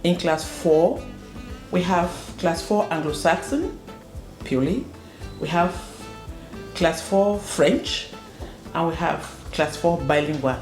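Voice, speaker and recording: Earnest low-voiced woman in a roomy space. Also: expressive, takes it slowly, long pauses, darkish tone. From northwest Cameroon. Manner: earnest, emphatic, repeats words for stress. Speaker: school proprietor and educator. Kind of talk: interview answers. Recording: roomy echo with faint music.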